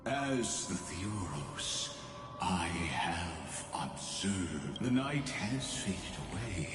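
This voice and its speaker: An actor voicing a cold, godlike villain in a game, speaking in a measured, grandiose way with condescending courtesy. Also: male voice, sits low, big pitch swings, heavily acted. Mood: grandiose, menacing. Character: cold godlike male villain, a grandiose scheming calculating mind. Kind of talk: dramatic game voice acting. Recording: echoey cavernous reverb under loud music.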